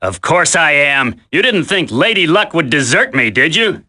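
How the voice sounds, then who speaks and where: A man's voice: a little high, very animated, normal speed, pushed and bright, exaggerated voice. An actor playing a cocky, grumbling male commando in a video game, talking in a brash, colloquial way. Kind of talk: dramatic video game voice acting.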